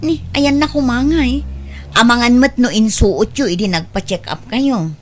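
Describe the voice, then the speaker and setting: A woman's voice: a little high, moderate pace, natural voice. A woman acting in an Ilocano radio drama as a matter-of-fact mistress of the house, with an Ilocano accent. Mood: reassuring, doubtful. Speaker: drama actor.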